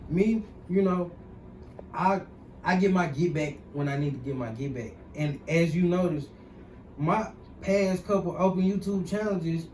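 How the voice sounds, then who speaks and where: A young man from Mississippi with a Southern accent speaks in easy, laid-back slang. His voice sits fairly high and sounds far from the mic. The room is roomy and echoing, with a faint hiss behind him. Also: darkish tone. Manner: laid-back slang, repeats "you know what I'm saying". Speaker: guest wrestler. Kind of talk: casual interview.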